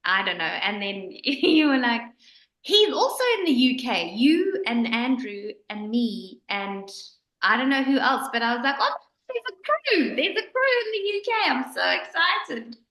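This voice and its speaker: A woman talks on a group video call with a highish, chatty voice. She is excitable and casual. Her sound bounces around an echoey room. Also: a little slow. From Northern Ireland.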